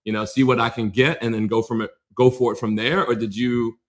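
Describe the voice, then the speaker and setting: Man, American accent, mid-pitched voice, podcast host, relaxed conversational questions. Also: brisk. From United States. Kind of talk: casual interview chat.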